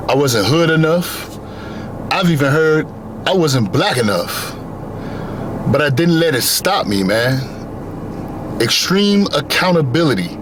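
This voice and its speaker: Earnest young man with a lively voice. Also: medium pitch, noisy background. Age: young adult. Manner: earnest, repetitive emphatic phrasing. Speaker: vlogger. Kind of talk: motivational monologue.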